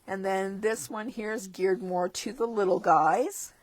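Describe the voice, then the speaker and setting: A woman losing her voice talks through a stamping catalog at an unhurried, slow pace. The sound is dry and close with no room echo. She is chatty and drops chuckling asides. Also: medium pitch, steady tone. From Canada. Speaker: stamping demonstrator. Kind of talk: casual catalog walkthrough. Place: tabletop craft desk indoors.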